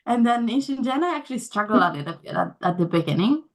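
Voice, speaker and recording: A woman's voice: a little high, lively intonation, fast, over a noisy crowd. A young woman, not a native English speaker, answering interview questions casually, with many fillers and restarts. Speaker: guest.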